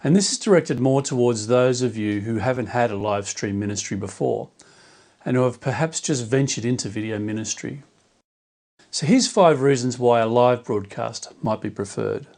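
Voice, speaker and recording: A man who runs a church media team, delivering a scripted explainer video in a clear, measured way. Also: mid-range voice, darkish tone.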